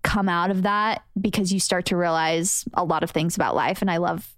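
A woman's voice: fairly low pitch, fairly even intonation, brisk, a bit bright, no room sound, clean studio sound. A woman in her twenties, a podcast guest, chatting casually and reflectively with lots of fillers. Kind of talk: casual chat.

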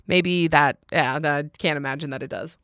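A woman hosting a podcast, chatting casually and candidly on her own with emphatic delivery and a loud, intense voice. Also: low-pitched, steady tone, moderate pace, no echo, clean studio sound. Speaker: podcast host. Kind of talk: casual solo chat answering listener questions.

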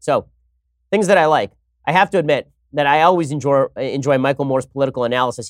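A man hosting an opinionated political commentary show, talking in a wry, sarcastic way and moving briskly from segment to segment. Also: mid-range voice, no room sound, clean studio sound. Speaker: host.

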